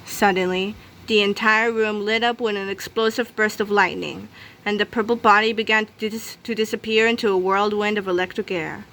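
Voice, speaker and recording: A woman's voice: medium pitch, fairly even intonation, moderate pace, fairly bright tone, slight background noise, low-quality recording. A woman reading a children's book aloud as scripted narration. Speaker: storybook reader.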